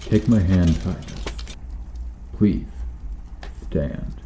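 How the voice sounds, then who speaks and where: A brooding medieval knight, acted by a man, with a deep voice and a slow, solemn delivery. His speech is formal and archaic, protective and full of remorse, in an intimate roleplay style. It sounds in a roomy, echoing space. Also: mellow. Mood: solemn devotion. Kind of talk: ASMR roleplay, slow dramatic acting addressed to the listener. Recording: roomy echo, faint background noise.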